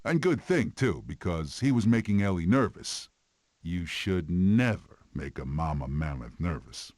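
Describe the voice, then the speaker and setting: A deep, dry cartoon animal uncle. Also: male voice, lively intonation, darkish tone, slight background noise. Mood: reproving. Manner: dry, reproving, measured. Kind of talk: animated film tie-in game character dialogue.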